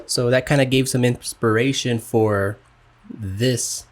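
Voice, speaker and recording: A man's voice: mid-range voice, steady tone. A man co-hosts a casual podcast with his partner. He talks in a hesitant way, breaking off and restarting his sentences. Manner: halting, hesitant, lots of restarts. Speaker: podcast co-host. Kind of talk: casual chat.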